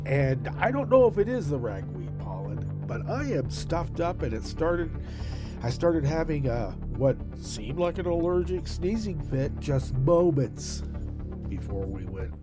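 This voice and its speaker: A man hosting his own show in a sarcastic, rambling way, with a stuffed-up nose. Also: fairly high pitch. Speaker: podcast host. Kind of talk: casual monologue.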